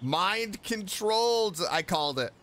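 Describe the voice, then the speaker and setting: A man hosting a game playthrough, reacting and thinking aloud in an excitable way. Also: sits high, a little slow. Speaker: gaming video host. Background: game background music.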